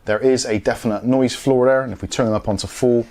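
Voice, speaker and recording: A man narrates a casual product review into a lapel mic. His voice sits at an average pitch for a man. He explains things plainly and practically, in a matter-of-fact manner. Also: steady tone, fast, a little mellow.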